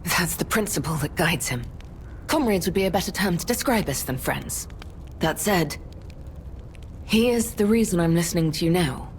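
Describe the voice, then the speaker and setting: An actress playing a grave, guilt-ridden veteran warrior and mentor in a video game, speaking in a measured, formal way. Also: female voice, fairly low pitch, expressive, a little hiss or hum, close to an everyday voice. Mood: guarded. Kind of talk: dramatic video game cutscene acting.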